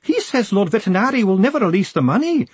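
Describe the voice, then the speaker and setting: A weepy older woman clerk wails and sobs her way through every line, her voice pitched high and full of breathy catches, as a man puts her on. Her pitch leaps and plunges with each fresh surge of despair. The sound is close and dry. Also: very animated, fast, no echo, heavily acted. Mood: wailing. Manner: wailing, sobbing. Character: tearful older woman post office clerk, melodramatic.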